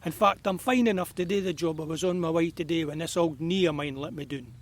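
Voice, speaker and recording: A man's voice: a little high, brisk, lightly acted. An actor playing a grumbling, wry eighty-year-old Scottish man in an audio drama, speaking broad dialect. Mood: wry, complaining.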